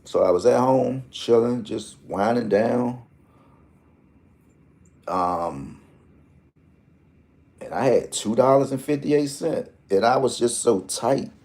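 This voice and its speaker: A man's voice: mid-range voice, slow, pauses often, a little mellow. A man hosting a lottery tips vlog, talking in a casual, joking, rambling way full of slang and asides.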